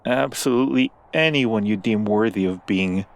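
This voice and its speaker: A man playing a seductive, obsessive demon prince in human form, speaking slowly and deliberately with menacing tenderness in an ASMR roleplay. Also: on the low side, vocal fry. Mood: possessive, coaxing.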